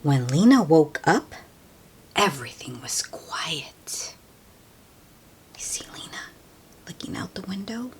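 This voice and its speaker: Slow, expressive woman reading a storybook. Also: deep voice, a little hiss or hum. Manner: slow, expressive, hushed at times, explains words simply. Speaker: children's book read-aloud host. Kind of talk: storybook read-aloud with asides to children.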